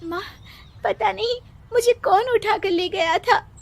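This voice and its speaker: A woman's voice: extremely high pitch, takes it slowly, creaky, pitched above the performer's own voice, full character voice. A woman voicing a distraught, plaintive village woman in a cartoon moral story. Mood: pleading. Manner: plaintive, pleading. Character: distraught village mother and her wronged young daughter.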